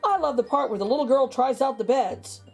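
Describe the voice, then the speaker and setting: A woman's voice: high-pitched, fairly quick, vocal fry, husky, soft background music, pitched above the performer's own voice, exaggerated voice. A woman voicing an urgent, enthusiastic boy in a storybook, exclaiming his lines. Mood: raving. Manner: emphatic, exclaiming.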